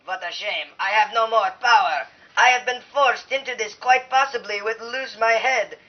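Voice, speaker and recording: A man's voice: high voice, normal speed, far from the mic, natural voice. Man playing a theatrical Frenchman puppet, muffled. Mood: doomed. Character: blustering French peasant man and fretful king puppets. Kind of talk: amateur puppet show acting. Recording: dull, muffled recording.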